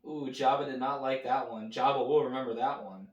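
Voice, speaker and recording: A man's voice: mid-range voice, steady tone, fairly quick, roomy sound, lo-fi sound. A man hosting a watch party, chatting and reacting aloud to a cartoon as it plays. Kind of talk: casual commentary while watching a cartoon.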